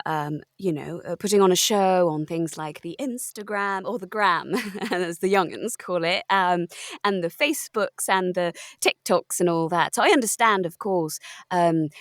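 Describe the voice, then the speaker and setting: A self-important older actress feigning modesty , acted by a woman, in a spoof documentary interview. She is coy and halting, recorded dry and close at a middling pitch. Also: no echo, lightly acted.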